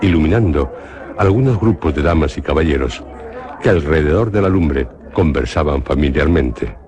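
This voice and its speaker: A man with a Spain Spanish accent narrating a radio drama in a measured, grave way. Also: very deep voice, darkish tone, slight background noise.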